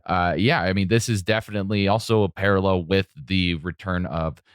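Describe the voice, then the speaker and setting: A man's voice: deep voice, steady tone, brisk, clean tone, no room sound, clean studio sound. A man hosting a podcast, talking through a film in a conversational, analytical way. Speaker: podcast host. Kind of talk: casual film discussion.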